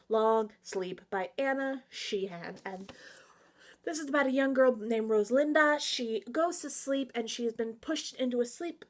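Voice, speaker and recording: A woman chatting casually to viewers as a book reviewer, excitable, emphatic and slangy, with theatrical asides and exclamations. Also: high-pitched. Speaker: book reviewer and vlog host. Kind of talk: casual, opinionated book recommendation chat to viewers.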